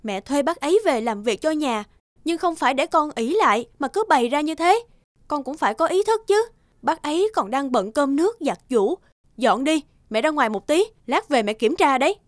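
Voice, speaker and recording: A woman's voice: high-pitched, lively intonation, normal speed, no echo, studio quality. A woman playing a strict middle-aged mother in a cartoon, firm and reproachful in her manner. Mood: stern. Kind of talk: cartoon dubbing.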